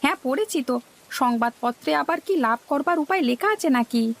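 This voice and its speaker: A woman's voice: high voice, lively intonation, moderate pace, a little hiss or hum. A woman voicing a naive, eager male village fish seller in a cartoon, with a questioning, simple-minded manner. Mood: puzzled. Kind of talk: cartoon dialogue.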